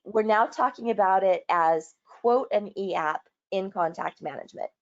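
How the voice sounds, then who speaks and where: A woman presenting a software walkthrough in an online training, explaining in a businesslike way. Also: mid-range voice. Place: online video call or webinar. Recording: muffled, lo-fi online call recording.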